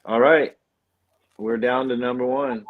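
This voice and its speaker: A man's voice: medium pitch, fairly even intonation, a little slow, phone-quality sound. A man co-hosting a film podcast in a casual, conversational style.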